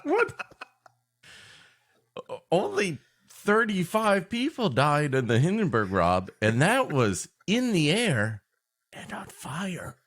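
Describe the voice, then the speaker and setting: A man's voice: a little high, huge pitch swings, slow pace, long pauses, a bit bright. A man co-hosting a comedy podcast, bantering playfully in casual chat and often laughing.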